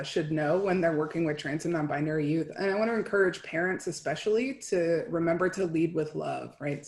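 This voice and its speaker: A woman speaking as a panelist, earnestly encouraging parents and caregivers and often adding "right?", over a video call. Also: lowish voice. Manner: earnest, encouraging, with tag questions. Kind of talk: advice-giving panel talk. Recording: roomy echo.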